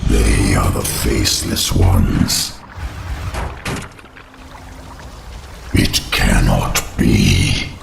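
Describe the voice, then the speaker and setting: An actor playing an ancient, monstrous undead insect king in a video game, solemn and formal, with a gravelly, echoing creature voice. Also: a bass voice, fairly even intonation, slow, very noisy, full character voice. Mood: alarmed. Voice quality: very gravelly, cavernous. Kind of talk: video game cutscene acting. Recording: loud music, echoing voice.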